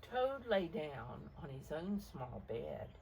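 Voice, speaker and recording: A woman reading a picture book aloud to young children, expressively, pausing to explain the pictures in simple words. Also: low voice, sing-song, gravelly, a little mellow, a little hiss or hum. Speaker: storybook reader for preschoolers.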